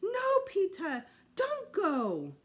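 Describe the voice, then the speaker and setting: A woman voicing an excitable, demanding, whiny preschool boy for a children's storybook. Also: very high-pitched, extremely slow, pitched above the performer's own voice, full character voice. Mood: pleading. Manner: whiny, insistent, childlike exclaiming. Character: excitable, demanding preschool boy, loud whining little brother. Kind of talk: children's book read aloud.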